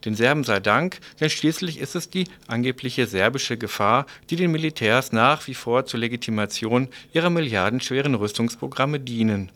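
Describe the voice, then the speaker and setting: A man's voice: medium pitch, lively intonation, moderate pace, few pauses, a bit bright. A man reading a scripted news report as a radio presenter.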